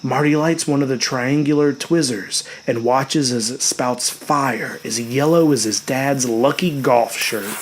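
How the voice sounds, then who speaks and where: Male storyteller, mid-pitched, for dramatic audiobook narration; measured, expressive delivery that softens into hushed phrase endings. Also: slight background noise.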